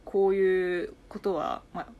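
A young woman, a native Chinese speaker, chatting casually and excitably as a podcast host, with an expressive, giggly manner. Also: fairly low pitch, unhurried, lo-fi sound. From China. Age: young adult. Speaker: podcast host, announcing student. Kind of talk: casual after-show chat.

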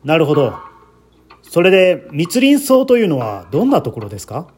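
A man voicing a calm, shrewd police inspector who questions a suspect in a measured, probing way in a radio mystery. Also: on the high side, slight background noise. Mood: calm, listening.

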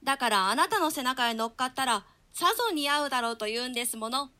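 Cheeky child prince for a radio Shakespeare, woman actor: high-pitched, bright-edged, theatrical and too clever for his age. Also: animated, fast. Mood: impish, teasing. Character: cheeky, precocious little boy prince. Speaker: stage reader in a troupe's radio reading. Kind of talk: theatrical Shakespeare reading in archaic Japanese translation.

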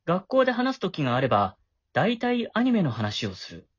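A man's voice: high-pitched, wildly swooping pitch, normal speed, slightly rough, dry recording, muffled recording, exaggerated voice. A man acting a shy, awkward middle-school boy with a crush in an amateur voice drama, flustered and prone to stammering. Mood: wistful narration.

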